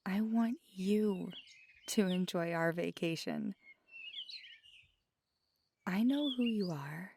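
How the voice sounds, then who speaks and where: A woman playing a feisty, affectionate magical girlfriend in an ASMR roleplay, talking casually and often dropping to a breathy murmur. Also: medium pitch, darkish tone. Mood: tender, reassuring. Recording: clear background noise with birdsong. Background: birdsong and outdoor ambience.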